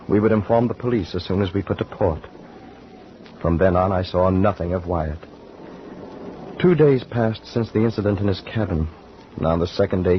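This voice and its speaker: A man narrating in measured, grave tones for a 1940s radio drama, on a muffled old radio recording. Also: fairly low pitch, slight background noise.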